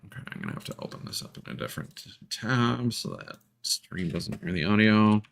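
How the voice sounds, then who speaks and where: A man's voice: on the low side, wildly swooping pitch, a little fast, creaky. A man doing casual live game commentary, thinking aloud and muttering to himself with the odd mild swear. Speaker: video game live streamer.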